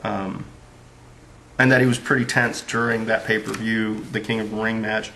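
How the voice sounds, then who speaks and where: A man's voice: lowish voice, level tone, normal speed, bright, forceful tone, slight background noise, rough recording. A man giving a casual spoken book review, rambling along with lots of fillers.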